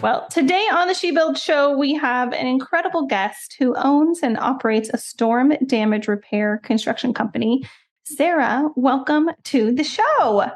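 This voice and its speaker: A woman's voice: high voice, a little slow. A woman hosting an interview show, upbeat and playful, laughing easily with her guest. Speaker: podcast host, general contractor. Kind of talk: scripted intro then casual interview.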